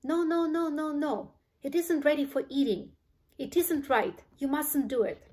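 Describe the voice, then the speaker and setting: A woman's voice: fairly high pitch, normal speed, darkish tone, lightly acted. A woman voicing a fretful, apologetic male candy inventor who sighs and stammers over his words. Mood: anxious fretting. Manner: sighing, stammering repetitions.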